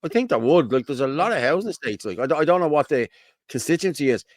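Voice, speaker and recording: A man's voice: a little high, lively intonation, fast, no echo, studio quality. Rambling Irish man hosting a livestream. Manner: rambling, repeats "you know what I mean"; reads out viewer comments. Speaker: co-host. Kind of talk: casual livestream chat.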